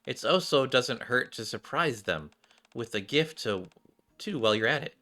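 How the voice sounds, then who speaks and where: Man reading a bright, confident female mentor. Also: mid-range voice, animated, moderate pace. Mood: encouraging. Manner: reassuring, upbeat, direct.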